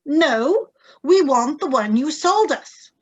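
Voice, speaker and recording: A woman playing an eager, stubborn and excitable wife in a radio drama reading, wide-eyed and insistent. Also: high-pitched, slow pace. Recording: live video-call recording.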